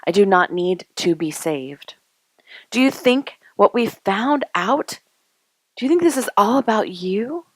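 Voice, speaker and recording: A woman reading poetry aloud in a dramatic spoken-word style, with deliberate, emphatic, declarative phrasing broken by pauses. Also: medium pitch, no echo, low-quality recording. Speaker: poet.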